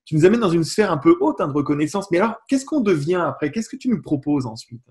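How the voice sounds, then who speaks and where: A man's voice: a little high, very animated, quick pace, darkish tone. A man hosting an interview, asking questions with some hesitation and many fillers. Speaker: interview host.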